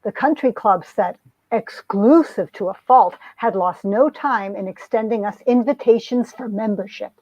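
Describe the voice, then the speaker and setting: A woman's voice: medium pitch, moderate pace, darkish tone, no room sound, dull audio, lightly acted. A woman playing a lovestruck young society heroine who narrates her romance with earnest melodrama, in an amateur comic reading. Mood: reflective. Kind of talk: dramatic reading of a 1950s romance comic, first-person narration.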